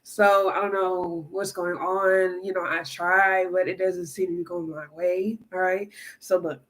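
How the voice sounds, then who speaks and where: A woman's voice: lowish voice, level tone, measured pace, low-quality recording. A woman reads tarot cards in a casual, chatty way, stuffed up from allergies, and keeps checking in with "all right?" and "okay?". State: congested. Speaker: tarot reader. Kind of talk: casual tarot reading to camera.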